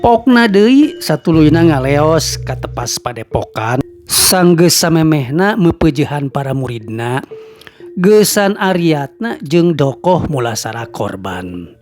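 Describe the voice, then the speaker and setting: A male storyteller narrating a serialized folktale, who greets his listeners formally and tells the story in a slow, unhurried way. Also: on the high side, darkish tone. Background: faint music.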